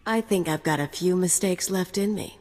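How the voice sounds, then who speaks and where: A woman's voice: on the low side, normal speed, a little mellow, slight background noise, natural voice. An actress voicing a cocky, reckless female mercenary with a sardonic, flippant manner. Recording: game audio with long reverb.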